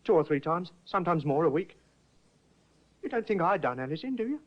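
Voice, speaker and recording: A high, lively voice: a shabby young husband, nervous and defensive. The pitch swings widely from line to line. It comes through a muffled old radio broadcast. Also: male voice, a little fast. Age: young adult. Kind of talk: 1950s radio drama acting.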